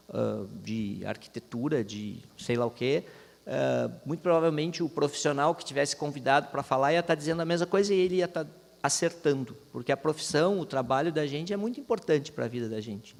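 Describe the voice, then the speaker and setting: A man in his fifties, a veteran journalist, talking to university students in a thoughtful way, with many restarts and fillers. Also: a little high. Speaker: guest speaker, veteran journalist. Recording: long hall reverb.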